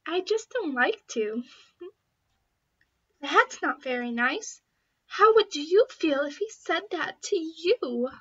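A woman's voice: sits high, animated, slow, long pauses, fairly bright tone. A woman, an amateur voice actor, performing short dramatic character lines for an audition, on a slightly dull home recording.

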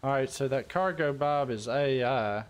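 A man's voice: medium pitch, normal speed, clean tone. A man streaming a video game, chatting casually in a jokey, folksy, exclaiming way. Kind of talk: casual gaming chat. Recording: game gunfire and vehicle noise behind. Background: video game sound effects.

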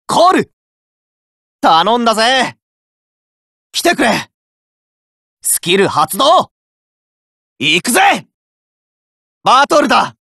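A hot-blooded teenage card fighter from a game, all rough boyish bravado. The voice is bright and forceful, leaping between low growls and high shouts. Between the cries he drags words out slowly, recorded close and dry in a studio. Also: no echo, full character voice. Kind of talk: game voice lines, shouted battle calls.

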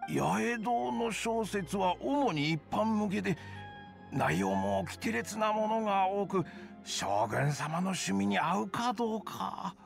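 A man's voice: high-pitched, animated, takes it slowly, vocal fry, soft background music, exaggerated voice. A man voicing a nervous, deferential bookshop editor in a Japanese game, very polite, flustered and hesitant.

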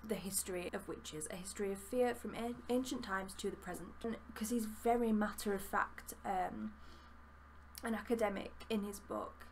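A woman's voice: mid-range voice, fairly even intonation, moderate pace, a little hiss or hum. A young woman with a Yorkshire accent, chatting enthusiastically while reviewing a book at her desk, with plenty of fillers. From Yorkshire, England. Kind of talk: casual book review vlog. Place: small room with bookshelves, indoors.

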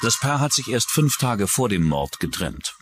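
A man's voice: medium pitch, sing-song, moderate pace, noisy background. A man narrating a scripted true-crime documentary as its narrator. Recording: music bed under the voice. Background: music.

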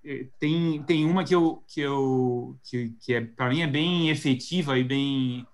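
A man's voice: medium pitch, measured pace. A man in his thirties with a Paulista Brazilian accent, a marketing guest on a live video call, talking thoughtfully with many restarts and fillers. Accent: Paulista Brazilian Portuguese. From São Paulo state, Brazil. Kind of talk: live webinar conversation. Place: home office indoors. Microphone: video call webcam mic.

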